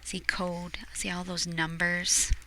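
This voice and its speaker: A woman reading a picture book aloud expressively, explaining things to young listeners in hushed asides. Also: lowish voice, completely flat delivery, slow, a little hiss or hum, low-quality recording.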